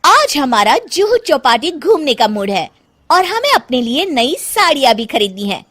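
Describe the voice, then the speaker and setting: A woman puts on an imperious young bride who behaves like royalty in a comic tale. The voice is high and animated, bossy and commanding. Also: moderate pace. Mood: haughty. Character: imperious young daughter-in-law acting like a queen. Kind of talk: comic story dialogue.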